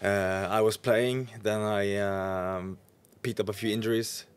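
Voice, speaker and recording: A man's voice: fairly low pitch, fairly even intonation, a little slow, clean tone. A man in his thirties with a Norwegian accent, speaking English as a second language in a casual podcast interview. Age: 30s. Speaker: podcast host, professional goalkeeper. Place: indoor lounge booth. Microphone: podcast microphone on boom arm.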